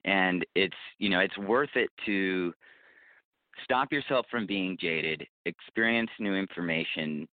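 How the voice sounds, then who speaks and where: A man's voice: deep voice, a little slow. A man speaking as an interview guest, giving casual advice in an earnest, reflective, explanatory way. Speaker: interview guest, writer and podcaster. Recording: roomy, phone-like narrow band.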